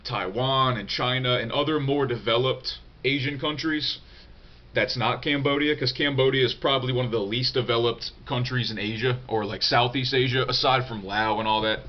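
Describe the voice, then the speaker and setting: A man's voice: mid-range voice, highs cut off. Stuffy-nosed young man, casual and profane. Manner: loose and profane, many fillers and restarts. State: congested, nasal from a cold. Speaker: vlogger answering viewer questions. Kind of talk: casual unscripted vlog talk.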